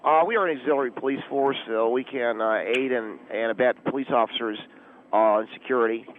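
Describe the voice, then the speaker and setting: A man's voice: mid-range voice, brisk, a little hiss or hum, lightly acted. A man voicing a cocky, dim, stubborn self-appointed auxiliary policeman on a comic call-in radio show. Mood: insistent. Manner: stubborn, repetitive, officious. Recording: dull phone-like radio line.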